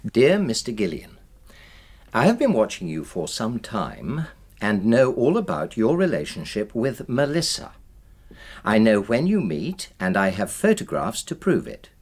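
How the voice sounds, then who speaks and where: Animated, husky middle-aged Englishman. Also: on the low side, unhurried, exaggerated voice. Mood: measured reading. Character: genial middle-aged publisher, husband and father, mildly bewildered. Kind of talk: naturalistic radio drama acting.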